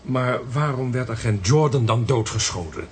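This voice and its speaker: Man acting an incredulous, animated Dutch thriller character. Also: medium pitch, normal speed, slight background noise, natural voice. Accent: Netherlands Dutch. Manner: incredulous, questioning. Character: shocked, disbelieving man drawn into a spy plot. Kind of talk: Dutch radio play acting.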